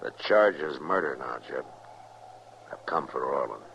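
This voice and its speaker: A deep, hard-edged American lawman, steady and commanding in an old western radio drama. His lines are terse and firm. The recording is dull and noisy. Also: unhurried, creaky, full character voice. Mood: grave, firm. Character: stern, steady frontier US marshal.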